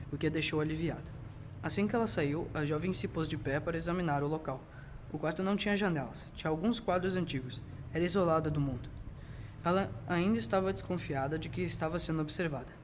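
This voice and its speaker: Teen boy reading radio drama narration, high young voice, some noise on the recording. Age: young teenager. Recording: noisy amateur school recording.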